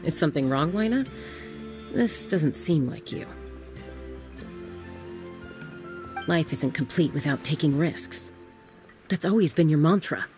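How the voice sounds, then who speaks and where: Low-voiced, composed peacekeeper. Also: female voice, lively intonation, moderate pace, over music. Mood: concerned. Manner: direct, composed. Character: cool, businesslike young woman peacekeeper, self-assured. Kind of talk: video game voice acting.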